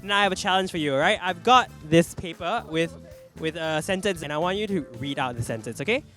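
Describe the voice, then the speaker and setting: Fast, lively Singaporean man hosting. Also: on the high side, faint music behind. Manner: energetic, animated questioning. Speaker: street interviewer host. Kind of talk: upbeat vox pop hosting. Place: city street outdoors.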